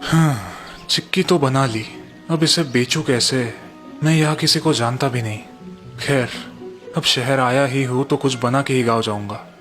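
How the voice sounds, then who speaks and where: A man's voice: medium pitch, measured pace, a bit bright, faint music behind, voice pushed down, lightly acted. A man voicing an earnest, proud younger brother from a village in a cartoon story. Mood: determined. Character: timid, proud, resentful younger village brother selling sweets.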